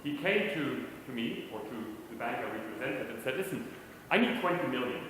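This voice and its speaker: A middle-aged man addresses a conference crowd in a relaxed, conversational way, with a wry, self-deprecating tone. His voice sits at an ordinary pitch. It carries through a large echoey hall with a faint hum of room noise behind it. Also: a little fast. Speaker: conference speaker. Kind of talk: conference talk.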